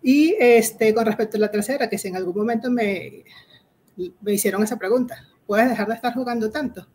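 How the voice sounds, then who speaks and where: A woman's voice: a little high, fairly quick, a little mellow. Venezuelan lady on a video call teaching agile stuff, lots of "este" and "eh", chatty explainer tone. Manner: explanatory, addresses the audience directly, frequent fillers "este" and "eh". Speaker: agile coach presenting a workshop. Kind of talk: online workshop presentation.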